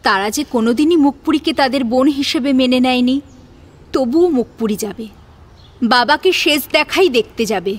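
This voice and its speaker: A woman's voice: high voice, animated, normal speed. A woman narrating a dramatic audio story with emotive delivery.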